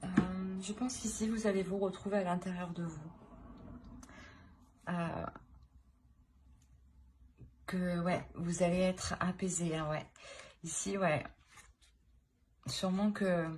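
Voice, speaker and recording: A woman's voice: on the low side, steady tone, slow pace, pauses often, a little mellow. A woman giving an informal tarot reading to viewers, hesitant and thinking aloud as she goes. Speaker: tarot reader and channel host. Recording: clear background noise, clicks.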